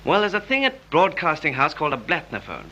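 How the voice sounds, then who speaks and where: An actor playing a shrewd, insistent police detective in a 1930s British film, speaking in a probing, clipped way. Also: male voice, fairly high pitch, huge pitch swings, fairly quick, sharp tone, slight background noise, exaggerated voice. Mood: earnest, insistent. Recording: dull, lo-fi 1930s film soundtrack.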